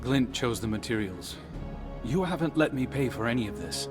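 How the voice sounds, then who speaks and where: A man plays an earnest, slightly wry scout in a game drama. He speaks conversationally at a medium pitch, with faint music behind him. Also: very animated, moderate pace, close to an everyday voice. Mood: guarded, earnest. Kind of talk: dramatic game cutscene acting.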